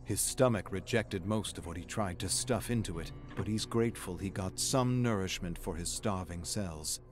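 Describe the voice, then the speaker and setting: A man's voice: sits low, moderate pace. A man reading scripted story narration in a dramatic storytelling style. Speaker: story reader. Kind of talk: dramatic scripted narration with acted inner dialogue. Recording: music behind the voice. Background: music.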